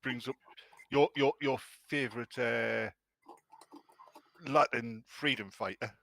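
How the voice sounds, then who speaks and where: A man's voice: medium pitch, fairly even intonation, takes it slowly, creaky, a bit bright, dry recording. A man in his sixties teasing friends in a casual group voice chat. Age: 60s. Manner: teasing, joking.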